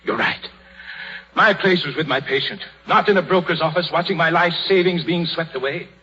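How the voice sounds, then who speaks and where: An actor playing an aging, anxious surgeon full of regret and self-pity, husky, in a 1930s radio drama. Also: male voice, a little high, moderate pace, bright, forceful tone. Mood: despairing. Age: older. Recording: dull 1930s radio broadcast with music.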